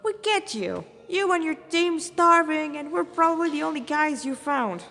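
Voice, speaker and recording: A woman voicing a brazen, laid-back older male Rasta hunter from a fan story, bargaining in slangy, drawn-out phrases. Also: high voice, lively intonation, sharp tone, voice pushed up, exaggerated voice. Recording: reverberant echoey room.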